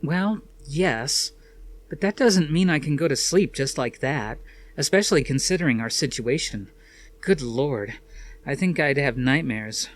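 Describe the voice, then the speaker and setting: A man's voice: medium pitch, lively intonation, a little slow, pitched above the performer's own voice, lightly acted. A man voicing an anxious, practical woman scientist in a storybook reading, with an emphatic, exasperated way of asking things. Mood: uneasy.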